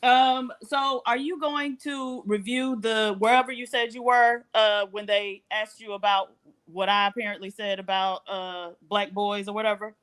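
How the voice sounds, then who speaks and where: A woman's voice: mid-range voice, fairly even intonation, normal speed, a bit bright, clean tone. A woman speaking as a panelist in a live talk.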